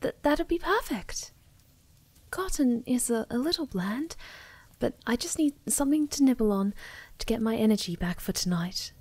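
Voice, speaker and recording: Woman voicing a soft, high, shy moth girl. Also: animated, normal speed, dry recording. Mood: shy, hungry. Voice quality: soft, breathy, often slipping into whispers. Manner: hesitant, stammering, gentle. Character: sweet, ditzy, shy young female moth creature. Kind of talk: soft-spoken ASMR roleplay.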